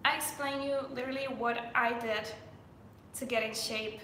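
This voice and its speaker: A woman's voice: a little high, fairly even intonation, moderate pace, bright, forceful tone, echoey room, a little hiss or hum. A young woman from Denmark, a fitness coach chatting on a live stream, full of fillers and restarts.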